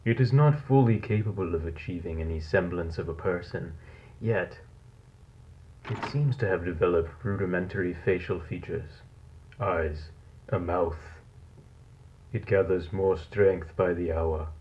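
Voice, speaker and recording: A man playing a cold, fascinated scientist in a horror audio log, speaking in a measured, formal, clinical way. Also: deep voice, a little mellow. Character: cold, fascinated male scientist logging a monstrous experiment. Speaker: narrator of a found-footage audio log. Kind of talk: scripted horror narration, dated lab log. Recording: dull lo-fi tape recording.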